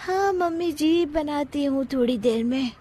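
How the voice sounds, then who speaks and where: A woman's voice: high-pitched, measured pace, dark tone. A woman voicing a lazy, cheeky young daughter-in-law in a cartoon family story, whiny and nonchalant.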